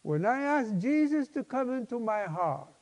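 A man in his seventies, a pastor preaching a personal testimony in an emphatic, halting, folksy way. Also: extremely high pitch, sing-song, a little mellow. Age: 70s. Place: large church sanctuary, at a lectern. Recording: long hall reverb.

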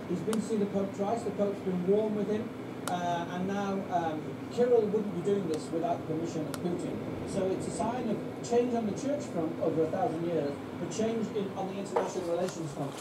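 A woman's voice: mid-range voice, fairly quick. A woman giving unscripted analysis for a news report, heard from a distance in an echoey, noisy recording. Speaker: commentator on international affairs.

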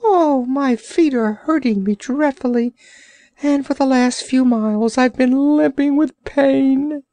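A woman's voice: high voice, slow pace, dark tone, no echo, pitched above the performer's own voice. A woman voicing a whiny, complaining male orc creature in a storybook reading, groaning and self-pitying.

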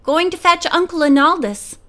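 A woman voicing an eager, excitable young male rabbit in a children's storybook. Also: very high-pitched, normal speed, voice pushed up. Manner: eager, exclaiming.

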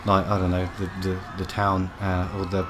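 A man with a fairly low voice plays a tabletop game. He talks casually through his moves over clearly audible noise. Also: monotone, moderate pace. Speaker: player in a tabletop role-playing game. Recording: noticeably noisy home recording.

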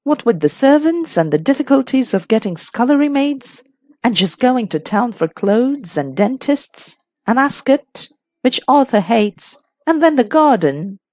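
A stubborn society hostess played by a woman narrator from a classic mystery audiobook. She protests in a flustered, plaintive way, with swooping intonation and an unhurried pace. The sound is close and dry. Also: fairly high pitch, very animated, dark tone, dry recording, voice pushed up. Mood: reluctant, plaintive. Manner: indignant, obstinate protesting.

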